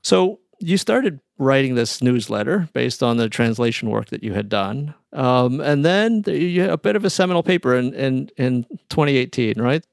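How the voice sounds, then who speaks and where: A man hosting an interview, asking lively questions with frequent restarts. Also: medium pitch, normal speed, vocal fry, few pauses, dry recording, studio quality. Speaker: podcast host.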